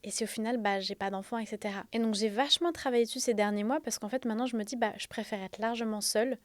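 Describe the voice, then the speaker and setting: A woman in her thirties hosting a spiritual self-help podcast, speaking in an earnest, confiding way with soft fillers. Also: fairly high pitch, fast talker, no echo. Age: 30s. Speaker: podcast host.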